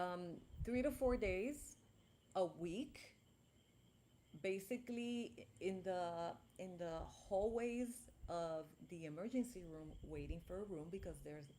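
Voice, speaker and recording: A woman's voice: on the low side, darkish tone, a little hiss or hum. A young woman chatting on a livestream, rambling, with many restarts and fillers, into a mic she holds close. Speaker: vlogger. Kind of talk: casual livestream chat. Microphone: clip-on mic, later held closer.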